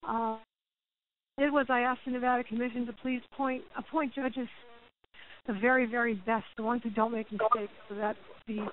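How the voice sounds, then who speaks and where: Higher-pitched woman on a tinny phone line. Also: level tone, normal speed. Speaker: boxing team representative, promoter. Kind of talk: answering press questions. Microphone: phone call. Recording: hissy, narrowband phone conference line.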